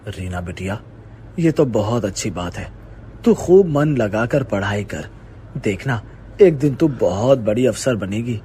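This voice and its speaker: A man playing a poor, affectionate vegetable-seller father in a storybook tale, speaking slowly and encouragingly. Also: lowish voice, lively intonation, warm tone, a little hiss or hum. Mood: proud, loving. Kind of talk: storybook character dialogue.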